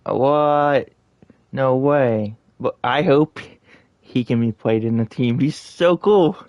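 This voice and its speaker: Slow-talking man reacting to a game. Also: medium pitch, no echo, muffled recording. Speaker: gamer reacting to gameplay. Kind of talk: casual off-the-cuff reaction.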